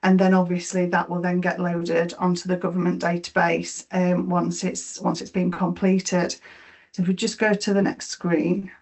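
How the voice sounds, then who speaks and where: A woman's voice: fairly low pitch, flat delivery, moderate pace, darkish tone. A middle-aged woman with a Yorkshire accent, presenting a webinar on mortgage rewards, explaining each slide with frequent fillers and repeated words. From Yorkshire, England.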